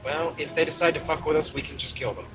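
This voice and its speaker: A man with a slightly high voice talks casually as a player in a group tabletop game. Loud music plays behind him. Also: steady tone, fast, poor audio.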